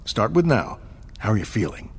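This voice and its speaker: Husky, teasing commanding officer. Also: mid-range voice, very animated, normal speed, heavily acted. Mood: amused. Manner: amused, theatrical, teasing. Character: playful, teasing superior officer at a debrief. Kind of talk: audio drama acting.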